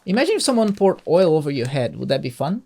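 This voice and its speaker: A man's voice: fairly high pitch, big pitch swings, fast talker, gravelly, dark tone. A man hosting a Bible reading, patiently explaining scripture to a child with coaxing questions. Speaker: host of a Bible reading show.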